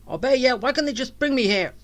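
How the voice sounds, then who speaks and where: A woman's voice: sits high, lively intonation, fast talker, noisy background. A woman giving casual gameplay commentary, rambling and tossing teasing asides at the game's characters. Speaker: game streamer. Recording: game music behind the voice.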